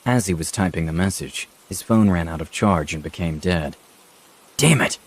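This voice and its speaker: Low-voiced man narrating horror stories. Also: moderate pace. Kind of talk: scripted horror story narration.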